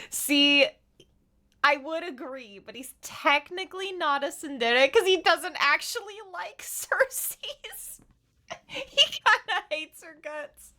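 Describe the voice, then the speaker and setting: Woman, slightly high voice, unhurried pace, giggly, playful, teasing, casual game livestream commentary. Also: expressive, sharp tone. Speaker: live game streamer.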